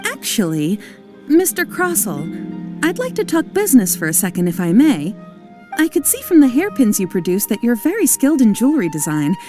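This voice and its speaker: A woman's voice: on the high side, huge pitch swings, a little fast, dark tone, soft background music. A woman voicing a polite, shrewd businesswoman in a video game, speaking formally and courteously. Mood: grateful, businesslike. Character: polite, shrewd young businesswoman from ore-trading family.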